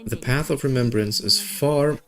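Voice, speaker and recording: A man's voice: medium pitch, unhurried, a bit bright. A man reading video game story dialogue aloud and adding casual commentary, occasionally stumbling over words. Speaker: gaming video creator. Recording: music behind the voice.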